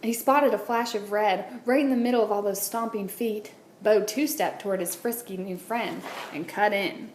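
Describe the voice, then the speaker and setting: Lady teacher reading a kids picture book out loud, echoey room, some background noise. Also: medium pitch, moderate pace, few pauses. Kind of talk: children's storybook read-aloud. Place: indoors at a wooden table, book on camera. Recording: roomy echo, clear background noise.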